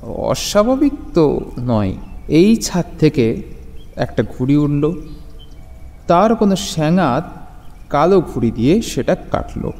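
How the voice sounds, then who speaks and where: Slow, echoing ghost played by a man. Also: on the high side, heavily acted. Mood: calm, sinister. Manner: slow, measured, calm, with ominous pauses. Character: calm, eerie male ghost avenging his own murder. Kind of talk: dramatised audio horror story. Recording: long hall-like reverb.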